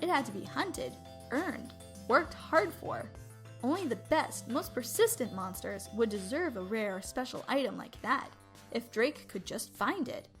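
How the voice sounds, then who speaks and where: High-voiced woman narrating a story. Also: lively intonation, unhurried, fairly bright tone, soft background music. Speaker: story writer and reader. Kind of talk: dramatic narration of a short story.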